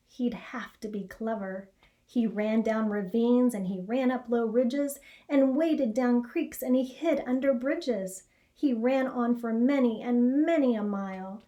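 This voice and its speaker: Female storybook reader, middle-aged, soft mellow tone, slow measured delivery with expression. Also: a little high. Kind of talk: read-aloud children's story narration. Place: small indoor classroom or office.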